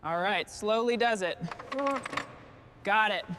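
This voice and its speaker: A woman with a mid-pitched voice gives brief, careful work instructions. Clear vehicle noise and clicks are heard behind her. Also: slow pace.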